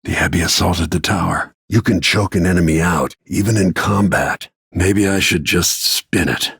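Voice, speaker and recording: A man voicing a grim, middle-aged assassin and protective father in a video game, gravelly and husky, with terse, brooding, controlled delivery. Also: deep voice, moderate pace, fairly bright tone, no room sound, studio quality, full character voice.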